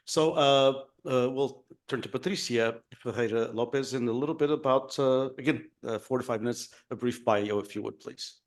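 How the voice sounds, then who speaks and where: A man moderating an author panel, courteous and halting, with many "uh" fillers. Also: mid-range voice.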